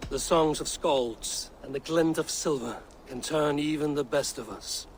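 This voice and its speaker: A man playing a stern, forthright Viking warrior in a dramatic video game, speaking in a measured, blunt way. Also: on the high side, slight background noise, natural voice.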